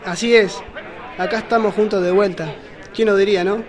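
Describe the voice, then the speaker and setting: Young man acting earnest revolutionary politician, high voice, amateur radio drama, crowd bustle behind. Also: steady tone, fairly quick, lightly acted. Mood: glad. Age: teenager or young adult. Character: Mariano Moreno, earnest, suspicious revolutionary politician. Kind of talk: amateur student radio play. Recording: busy crowd noise behind voice.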